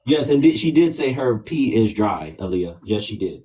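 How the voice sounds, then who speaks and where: A man's voice: medium pitch, sing-song, normal speed, mellow. An American man hosting a livestream debate, talking in a mocking, goading manner. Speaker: livestream host. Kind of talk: bantering livestream debate talk.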